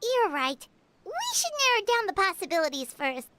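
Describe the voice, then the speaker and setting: A woman's voice: very high voice, sing-song, heavy background noise. A woman voicing a tiny, chatty female fairy-like companion in a video game. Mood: curious. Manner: chatty, eager, talks in quick bursts.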